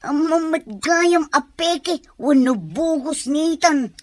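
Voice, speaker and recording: A woman's voice: high-pitched, slow pace, a little mellow, dry recording. A woman playing a scheming, money-hungry schemer in an audio drama, coaxing and insistent. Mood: insistent, greedy. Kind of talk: amateur audio drama acting.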